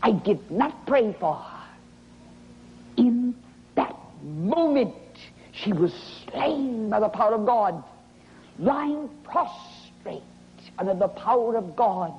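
A woman preaching a revivalist sermon in a dramatic, emphatic manner, drawing out words and dropping into breathy whispers, in a sanctuary. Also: fairly high pitch, very animated, takes it slowly. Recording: dull, noisy lo-fi archival sermon recording.

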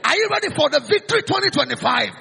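A man with a Ghanaian accent preaching a sermon, calling out lines for the congregation to repeat, dropping low and then rising to shouts. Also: very animated, rapid-fire, gravelly. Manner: fiery call-and-response preaching, swings from hushed to shouting.

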